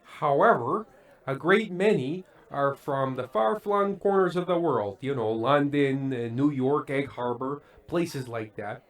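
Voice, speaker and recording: An actor playing a glum, fretful middle-aged variety show host in a radio play, with a halting, stammering delivery. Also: male voice, mid-range voice, animated. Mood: showman patter. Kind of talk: old-time radio play acting.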